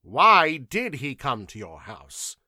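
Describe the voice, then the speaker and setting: A man's voice: high voice, very animated, unhurried, a bit bright, no echo, studio quality, voice pushed up, heavily acted. A man voicing a stern, impatient inquisitor in an audiobook, questioning in a curt, commanding way. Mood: snapping. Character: stern male inquisitor, impatient, weary of interrogations.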